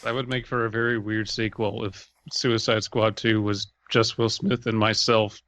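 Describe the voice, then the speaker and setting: Bright-voiced man with deadpan podcast banter. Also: fairly low pitch, moderate pace, no echo. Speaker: podcast co-host. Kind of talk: casual joking chat and critique.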